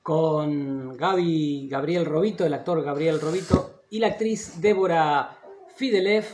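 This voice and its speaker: An Argentine man hosting a casual live-stream interview, speaking haltingly and circling back over his phrases. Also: a little high, darkish tone, slight background noise. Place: live video call on social media. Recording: dull, lo-fi internet call audio.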